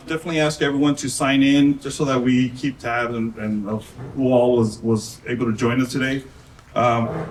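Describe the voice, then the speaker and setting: A man, a public safety supervisor, giving relaxed housekeeping announcements with fillers. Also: mid-range voice. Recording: distant mic with faint noise.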